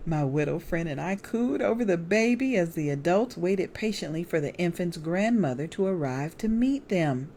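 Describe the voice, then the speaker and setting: Casting: middle-aged American woman, low mellow voice, expressive memoir narration, slight background noise. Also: moderate pace, few pauses. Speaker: author and evangelist reading from own book. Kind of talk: memoir read aloud.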